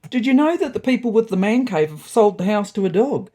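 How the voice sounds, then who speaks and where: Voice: medium pitch, expressive, a little fast, dark tone, pitched above the performer's own voice, lightly acted. A narrator as a laid-back, matey male cat. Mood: languid. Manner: languid, matey.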